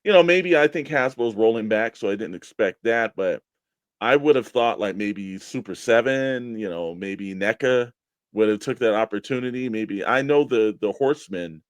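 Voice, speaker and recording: A man's voice: fairly low pitch, moderate pace, dry recording. An American man co-hosting a toy collecting show in casual, informal conversation with spoken emphasis. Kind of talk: casual radio-show chat.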